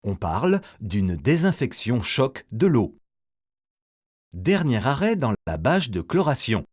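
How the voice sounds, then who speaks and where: A male narrator delivers a scripted educational voice-over at a medium pitch. His intonation is highly animated, rising and falling widely as he explains each step in a didactic way. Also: takes it slowly.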